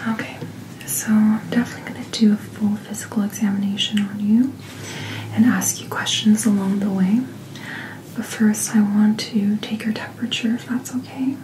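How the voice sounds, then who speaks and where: A woman speaking softly and breathily, mostly in a whisper, as a gentle, reassuring doctor in an ASMR roleplay. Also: mid-range voice, steady tone. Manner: gentle, unhurried, reassuring bedside manner. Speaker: ASMR performer playing a doctor. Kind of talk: soft-spoken ASMR medical roleplay. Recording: roomy sound, clear background noise.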